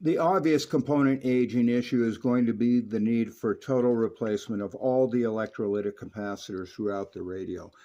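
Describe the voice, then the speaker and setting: A man with a mid-pitched voice gives an explanatory technical voice-over. He speaks like a hands-on repairer, stressing his points firmly and using folksy turns of phrase. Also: steady tone, brisk, hardly any pauses, dark tone, dull audio. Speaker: radio repair technician and reviewer.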